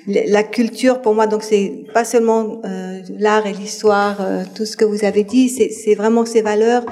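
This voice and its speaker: A woman's voice: medium pitch, steady tone, moderate pace, warm tone. A woman in her fifties from France, speaking as a guest on a public panel, hesitant with many fillers and restarts. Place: public hall with audience. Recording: reverberant hall.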